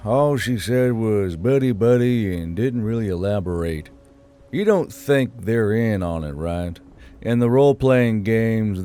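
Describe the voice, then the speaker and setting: Man voicing an earnest, mellow-toned troop leader. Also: lowish voice, clear, resonant tone, natural voice. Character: earnest, slightly exasperated male leader of riders. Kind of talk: audiobook character dialogue.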